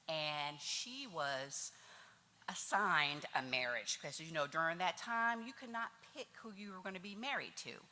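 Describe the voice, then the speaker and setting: A woman's voice: fairly low pitch, sharp tone. A woman giving a chatty, nervous talk to an audience at a church tea event. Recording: hall reverb.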